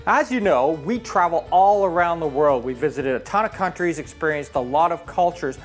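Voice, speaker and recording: A woman's voice: low-pitched, expressive, normal speed, over music. A woman from Canada talking cheerfully to camera in a travel vlog. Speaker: travel vlogger. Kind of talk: casual vlog talk to camera.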